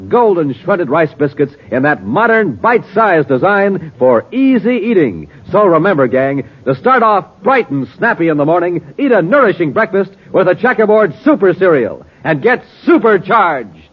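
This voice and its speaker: A man's voice: sits high, very animated, normal speed, few pauses, breathy edge. Dramatic, swooping 1950s American radio announcer man. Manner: dramatic, emphatic showman delivery. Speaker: announcer and narrator. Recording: muffled, noisy 1950s radio recording.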